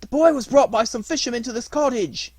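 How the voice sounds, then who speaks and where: A man voicing an eager, fibbing young wooden puppet boy in a storybook, earnest and excitable. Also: very high voice, a little fast, breathy edge, dry recording, voice pushed up, exaggerated voice. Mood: anxious, sorrowful.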